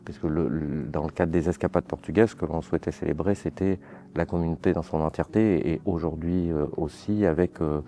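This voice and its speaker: A man who organizes a local event, speaking in a halting way as he searches for his words. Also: a bass voice, steady tone, dark tone, a little hiss or hum. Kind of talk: documentary interview.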